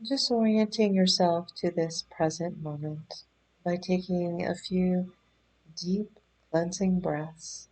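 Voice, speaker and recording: Female meditation guide, soft-edged mellow tone, very unhurried delivery, gentle and soothing instructions. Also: on the low side, low-quality recording. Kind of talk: guided body scan meditation.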